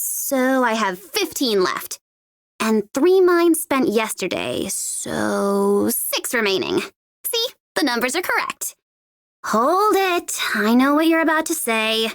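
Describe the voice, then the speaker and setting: A woman's voice: on the high side, fairly bright tone, no room sound, studio quality, exaggerated voice. A woman voicing a young female mercenary bomber in a video game, gleeful and taunting, with a playful, sardonic, theatrical delivery. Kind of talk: video game character voice lines.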